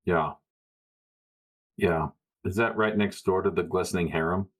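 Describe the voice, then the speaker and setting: A man's voice: low-pitched, measured pace. A man playing a tabletop role-playing game, speaking casually and politely as he improvises in character.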